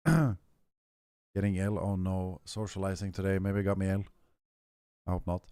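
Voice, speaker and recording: A young man streaming a game, chatting casually with his viewers, hoarse and clearing his throat as he rambles. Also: low voice, little pitch movement, slow, dark tone, no room sound, clean studio sound. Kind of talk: casual stream chat, answering chat.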